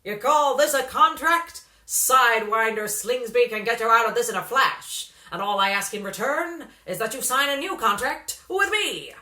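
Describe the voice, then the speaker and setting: A woman's voice: a little high, lively intonation, normal speed, hardly any pauses, bright, forceful tone, roomy sound. A woman voicing a boastful male showbiz manager in a storybook, with a blustering, emphatic, pompous delivery. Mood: boastful, scornful. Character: brash, boastful male showbiz manager, fast-talking salesman type.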